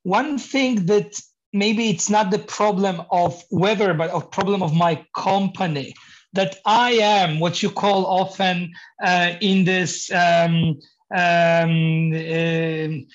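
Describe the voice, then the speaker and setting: A man from Poland with a Polish accent, speaking English as a second language, halting and searching for words, laughing now and then. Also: sits high, fairly even intonation, highs cut off. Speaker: guest, painting contractor. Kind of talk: interview conversation.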